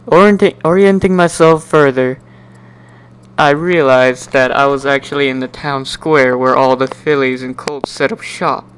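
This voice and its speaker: A man giving an amateur dramatic reading of a first-person story in an animated, wry, sardonic way. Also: medium pitch, moderate pace, a little hiss or hum, rough recording. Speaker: amateur narrator.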